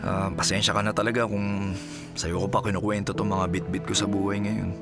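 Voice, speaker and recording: A man's voice: lowish voice, level tone, a little fast, close to an everyday voice. An actor playing a humbled, weary single father in a Filipino radio drama, hesitant and apologetic, with a Filipino accent. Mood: sorrowful. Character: weary, humbled jobless single father fallen on hard times. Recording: music behind the voice.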